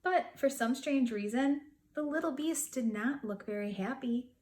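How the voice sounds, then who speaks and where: A woman reading a picture book aloud to schoolchildren in an expressive storytelling manner, with dramatic pauses. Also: high-pitched. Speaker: school counselor reading to students.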